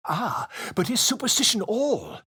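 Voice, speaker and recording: Pompous, theatrical bard, wildly animated. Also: male voice, very high voice, measured pace, husky, no echo, clean studio sound, heavily acted. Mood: chatty, self-assured. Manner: pompous, florid, effusive diction. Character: flamboyant, self-important male bard and author, theatrical and excitable. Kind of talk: fantasy video game voice acting.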